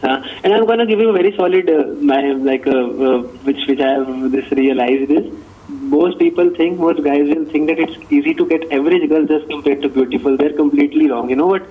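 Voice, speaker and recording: A man's voice: a little high, fairly quick, mellow, breathy edge. A man in his early twenties with an Indian accent, chatting casually on a phone call, switching between English and Hindi. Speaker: caller. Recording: tinny narrowband phone line.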